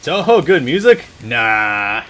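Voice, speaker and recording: A man's voice: medium pitch, sing-song, slow, pushed and bright. A man co-commentating a let's play in casual, laid-back chat, joking and swearing now and then. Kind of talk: casual chat over gameplay. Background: game music.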